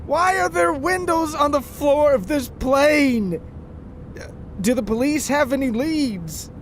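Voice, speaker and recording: A man's voice: extremely high pitch, takes it slowly, slight background noise, voice pushed up. A man voicing a frightened, stammering airplane passenger in an audio story with music behind him. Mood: panicked. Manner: stammering, panicky. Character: nervous flyer Robert, frightened, shaky American-bound plane passenger.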